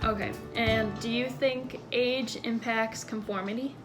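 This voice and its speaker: A young woman who hosts a mock talk show and interviews her mother in a cheerful, brisk way. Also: fairly high pitch, steady tone, music in the background. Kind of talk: casual interview.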